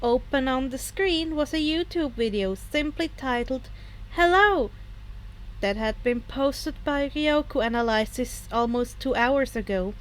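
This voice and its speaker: A woman narrating fan fiction as expressive storytelling, with character voices. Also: high voice, a little slow, rough recording.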